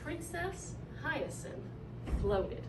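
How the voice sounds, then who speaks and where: A woman reading a children's picture book aloud in an animated, deliberate way with dramatic emphasis. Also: sits high. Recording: echoey room, distant mic, clear noise.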